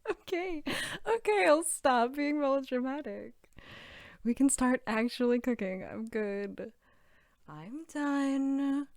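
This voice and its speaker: A woman playing a sweet, bookish, playful archivist girlfriend in an intimate ASMR roleplay, soft-spoken and teasing. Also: sits high, lively intonation, slow pace, no room sound, studio quality, heavily acted. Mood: playful, mock-offended. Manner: soft-spoken, often near whisper, giggly, teasing.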